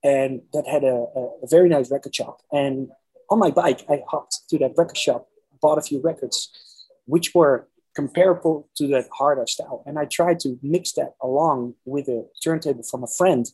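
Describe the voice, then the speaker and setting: Husky Dutch man telling his story. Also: on the high side, a little mellow, rough recording. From Netherlands. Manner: halting, repeats words as he searches for them. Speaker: interview guest recounting his musical past. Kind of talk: casual personal storytelling.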